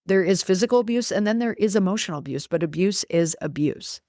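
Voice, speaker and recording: A woman's voice: medium pitch, animated, fast, darkish tone, no echo, clean studio sound. A middle-aged woman giving opinionated podcast commentary in an emphatic way, repeating words for stress.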